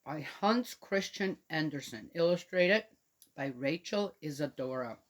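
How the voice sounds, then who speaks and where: A woman from Switzerland chatting gently to young children and reading them a picture book aloud. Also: low-pitched, animated, a little slow. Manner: gentle, chatty, talks directly to young listeners. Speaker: children's storyteller.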